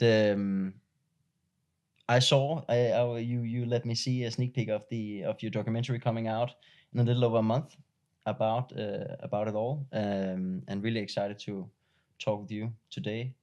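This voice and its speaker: A man hosts a casual interview in a slightly low voice. He stumbles through his questions, restarting and filling gaps with "um" and "uh". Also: fairly even intonation, darkish tone, dull audio. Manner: halting, many restarts and fillers. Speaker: interviewer, host.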